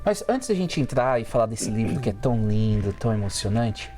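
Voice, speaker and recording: Deep-voiced woman co-host, lively questions, music clearly behind. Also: a little fast. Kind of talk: talk show interviewing.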